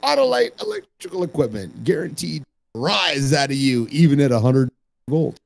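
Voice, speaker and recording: A man's voice: fairly high pitch, big pitch swings, normal speed, gravelly, no echo. A man co-hosting a listen-along with bawdy, exclamatory, clowning riffs. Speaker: co-host of a listen-along stream. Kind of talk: bawdy casual riffing.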